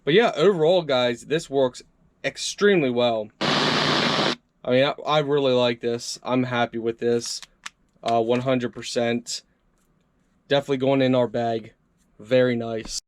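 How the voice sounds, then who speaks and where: A man's voice: medium pitch, unhurried, pauses often, a little hiss or hum. A man doing a casual, upbeat hands-on product review, chatting to his viewers as he tries things out.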